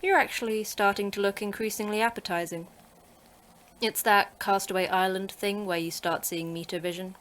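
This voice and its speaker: A woman's voice: medium pitch, steady tone, moderate pace, a bit bright, natural voice. A woman playing the terse, dry-witted manager of a secret facility in a sci-fi horror audio drama.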